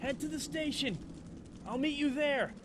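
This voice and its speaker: Man acting an urgent young cop, raised pitch. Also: lively intonation, a little slow, noisy background. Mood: muffled, calling out. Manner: urgent, strained. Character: earnest young male cop, urgent under danger. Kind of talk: dramatized horror audiobook dialogue.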